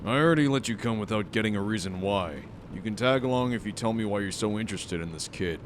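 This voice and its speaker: A man plays a stern academy official in a superhero audio drama. His voice is slightly low and measured. He is blunt and dry, with steady authority. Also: slight background noise, natural voice.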